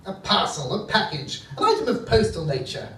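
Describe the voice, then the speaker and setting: A man's voice: high-pitched, sing-song, normal speed, sharp tone, full character voice. A man playing a petulant, cackling evil scientist in a live comedy radio play, pompous and full of exclamations. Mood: scheming, exasperated. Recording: echoey, distant, very noisy stage recording.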